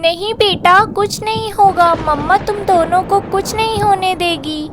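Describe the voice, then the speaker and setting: A woman's voice: very high-pitched, measured pace, background noise, voice pushed up. A woman voicing an anxious, caring mother sparrow in a cartoon, in a pleading, earnest way. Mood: reassuring.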